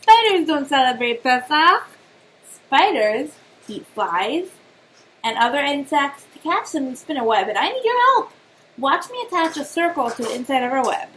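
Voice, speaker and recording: A woman voicing a patient, gently firm mother spider in a children's storybook, explaining things and fondly chiding. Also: sits high, very animated, moderate pace, slight background noise, poor audio. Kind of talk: children's storybook read-aloud.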